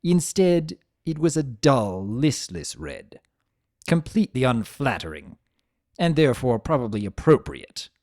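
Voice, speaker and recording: A man's voice: mid-range voice, sing-song, a little slow, a little mellow, no echo, studio quality. A man voicing a vain, spiteful spirit of chaos trapped as a statue, telling a story in a theatrical, sardonic, disdainful way. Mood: disdainful, mocking. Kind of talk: first-person dramatic fan fiction reading.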